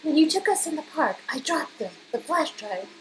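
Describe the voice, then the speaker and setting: A woman's voice: sits high, animated, normal speed, slight background noise, rough recording. A woman voicing an anxious, hesitant young female ally, flustered but brave, in a dramatic game reading. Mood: nervous.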